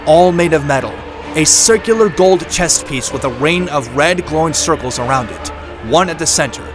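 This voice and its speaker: A man's voice: on the high side, animated, normal speed, over music. A man giving dramatic scripted narration for an audio drama.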